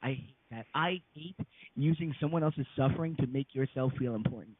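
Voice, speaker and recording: A man's voice: mid-range voice, a little fast, no room sound. A man co-hosting a crude comedy chat, foul-mouthed and ranting, with frequent laughter. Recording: dry, husky phone-line audio.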